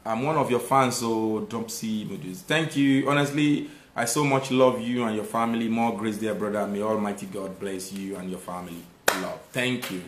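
Fields. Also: male voice, on the low side, normal speed, hardly any pauses. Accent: Nigerian. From Nigeria. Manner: warm thanks to viewers, lively when making a point. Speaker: live stream host. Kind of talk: live stream chat reading viewer comments. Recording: echoey room.

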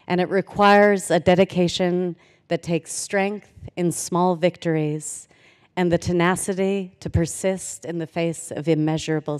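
Woman, 40s, commencement speaker at podium mic; smooth resonant voice, earnest with dry humor, long hall echo. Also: sits low, darkish tone. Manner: earnest, measured, with light humor. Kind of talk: formal commencement speech. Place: large hall, at a podium on stage. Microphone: podium microphone. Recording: reverberant auditorium sound.

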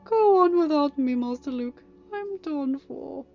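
A prim, fussy male robot played by a woman in an audiobook, pitched up high and dramatically anxious. Music sits behind the slightly dull recording. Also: very animated, brisk. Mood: pathetic, woeful. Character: fussy, anxious, scolding male protocol droid.

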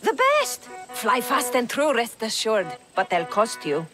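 An actress playing an eager, boastful female market merchant in a video game, with a sales-pitch flourish. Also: wildly swooping pitch, a little slow, over music. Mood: eager selling. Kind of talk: video game character acting.

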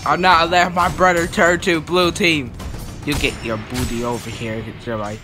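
A man's voice: on the high side, steady tone. A young man on voice chat who hams it up with mock-dramatic lines while playing an online game. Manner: playful, mock-dramatic, slow and drawn out. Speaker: gamer on voice chat. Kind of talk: casual gaming chat. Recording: game music behind.

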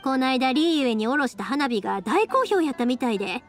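A woman's voice: high voice, lively intonation, fast talker, faint music behind. A woman playing a cheerful young fireworks maker in an anime game, breezy and friendly, with a Kansai accent. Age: young adult. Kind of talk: Japanese anime game voice acting.

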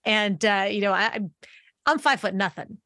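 A woman in her forties hosting a podcast interview, chatty and lively, speaking into a boom mic while wearing headphones. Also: normal speed, no echo, clean studio sound. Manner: energetic, talks with her hands, restarts phrases. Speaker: podcast host. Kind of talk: conversational interview. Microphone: boom mic on arm, wearing headphones.

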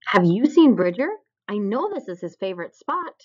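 A woman's voice: mid-range voice, very animated, normal speed, darkish tone, husky. A woman voicing an eager, curious young bison, the hero of a children's storybook. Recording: dull, lo-fi recording.

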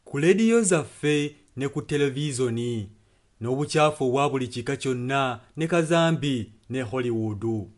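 A male interpreter delivers a sermon and prayer in short phrases. His voice sits at an average pitch for a man. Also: animated, moderate pace.

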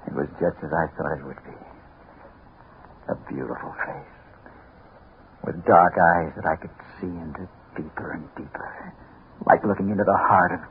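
An American actor playing a haunted hard-boiled detective obsessed with a woman, in 1950s radio noir style. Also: sits low. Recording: noisy, phone-like 1950s radio recording.

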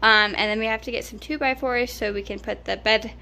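A woman's voice: fairly high pitch, fairly even intonation, fairly quick, sharp tone, a little hiss or hum. A woman in her twenties giving a casual tour of a small apartment, holding a phone camera and talking in a loose, chatty way with many fillers. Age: 20s. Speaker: vlogger. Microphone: handheld phone camera.

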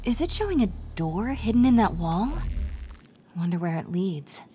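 A woman's voice: mid-range voice, sing-song, normal speed, mellow, slight background noise, close to an everyday voice. A woman voicing a determined young reporter in a video game, murmuring to herself and often dropping to a whisper as she sneaks. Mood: curious, intent. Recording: background music under the voice.

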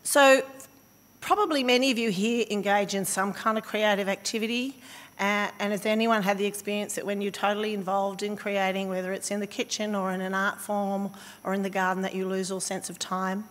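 An Australian woman with a slightly croaky voice giving a conference talk, thoughtful and halting with fillers and restarts. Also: mid-range voice, little pitch movement. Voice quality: slightly hoarse. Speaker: conference speaker. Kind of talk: academic presentation. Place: conference hall. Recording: reverberant conference hall.

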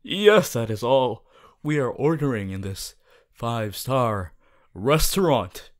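A man playing a young, cheerful aspiring superhero student in an audio roleplay, earnest and goofy, with booming theatrical boasts and hesitant asides. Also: expressive, slow pace. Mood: exuberant, pompous. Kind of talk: ASMR audio roleplay addressed to listener.

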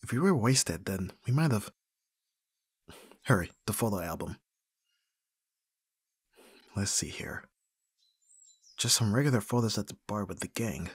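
A man acting an awkward, flustered young best friend in an ASMR roleplay, with a breathy, husky voice and hesitant, stammering delivery. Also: on the low side, animated, unhurried, long pauses, no echo, studio quality. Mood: hesitant, puzzled. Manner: hesitant, stammering, often dropping to whispers.

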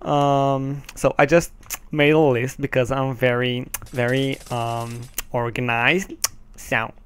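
A man's voice: medium pitch, flat delivery, slow pace, a little hiss or hum. A teenage boy from Israel with an Israeli accent, Hebrew his first language, hosting casual gameplay commentary through a headset, hesitant, with many ums and nervous laughs. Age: teens. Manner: hesitant, many ums, nervous laughs, swears when the game goes wrong. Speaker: gaming channel host.

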